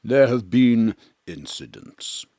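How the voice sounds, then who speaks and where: An actor playing a pompous, drunken, paranoid old thespian, declaiming theatrically in a comic audio drama. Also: male voice, mid-range voice, animated, slow pace, mellow, no echo. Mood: indignant.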